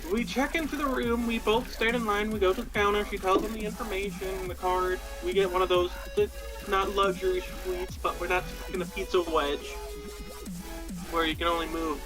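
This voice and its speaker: A man's voice: high voice, steady tone, normal speed, rough recording. A young American man telling a personal story casually, in a rambling, self-deprecating way. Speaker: podcast storyteller. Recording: background music throughout. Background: music.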